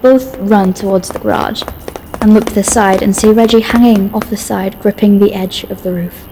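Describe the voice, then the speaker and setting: A woman's voice: mid-range voice, fairly even intonation, moderate pace, background noise. A woman giving scripted narration for a horror story as its narrator. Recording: music behind the voice.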